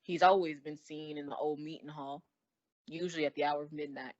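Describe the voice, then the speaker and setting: A man's voice: on the high side, fairly even intonation, brisk, lightly acted. Guy doing village sheriff, earnest n respectful, old radio play acting, muffled lo-fi sound. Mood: earnest, worried. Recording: dull lo-fi radio play recording.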